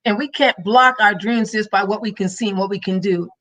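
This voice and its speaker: A woman hosts her podcast over a video call, speaking at a mid-range pitch. Her delivery is emphatic and sermon-like. She builds her points in rhythmic, faith-filled phrases. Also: fairly quick, a bit bright. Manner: preachy and emphatic, faith-filled motivational cadence. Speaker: podcast host and minister. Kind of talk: live-streamed motivational talk.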